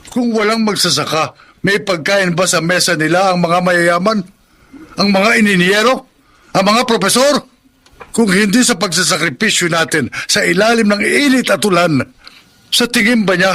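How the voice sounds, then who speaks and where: A man's voice: high-pitched, bright, forceful tone, exaggerated voice. A man playing a proud, stern middle-aged farmer father with an emphatic delivery in a Filipino radio drama. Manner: emphatic, rhetorical. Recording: dramatic music behind the voice.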